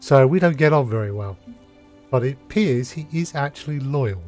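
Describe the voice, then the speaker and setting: A man's voice: medium pitch, expressive, normal speed, a little mellow. Casual man streaming a game. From United Kingdom. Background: faint game music.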